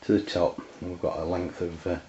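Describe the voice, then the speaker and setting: A man's voice: low voice, little pitch movement, warm tone, slight background noise. A man with an English accent giving an unscripted, hesitant product demonstration in plain words, recorded on a dull lo-fi camera microphone. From England. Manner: hesitant, halting, plain-spoken. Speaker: amateur radio reviewer.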